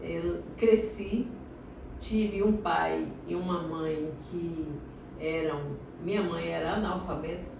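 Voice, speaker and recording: A middle-aged woman from Salvador, telling her life story in a slow, reflective interview. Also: lowish voice, fairly even intonation, creaky, distant mic. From Salvador, Bahia, Brazil. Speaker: interviewee, doctorate holder. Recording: echoey room, recorded from afar, noisy.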